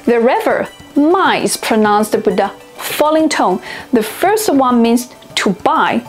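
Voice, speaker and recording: A young woman, a native Chinese speaker, narrating a scripted language lesson and slowing down carefully on example words. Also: on the high side, expressive, faint music behind. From China. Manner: clear, deliberate, slows down to pronounce example words. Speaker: language teacher and video host.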